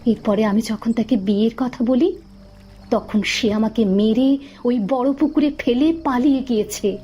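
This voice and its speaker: Woman voicing a young village woman, soft and pleading, in a horror cartoon; high, mellow voice. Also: measured pace, faint music behind, lightly acted.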